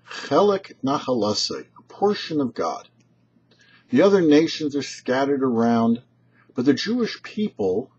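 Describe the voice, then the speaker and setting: A man with a very husky, breathy-edged voice delivers a scripted religious teaching like a rabbi addressing listeners. His intonation moves widely up and down across deliberate, measured phrases. The recording is somewhat dull and lo-fi. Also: mid-range voice, darkish tone. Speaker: rabbi, host of a weekly Torah portion show. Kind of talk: short scripted religious commentary, read aloud. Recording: dull, lo-fi, muffled audio.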